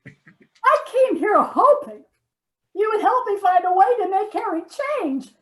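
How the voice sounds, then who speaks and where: A woman's voice: very high-pitched, expressive, unhurried. A woman playing a nagging, self-righteous wife in a comedy sketch, dramatic and exasperated, often repeating herself. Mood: aggrieved. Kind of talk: readers theater comedy sketch.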